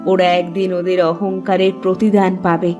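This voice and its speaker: A woman voicing a gentle, consoling village mother in an animated fairy-tale cartoon, with a soothing, tender manner. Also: lowish voice, normal speed, soft background music, close to an everyday voice.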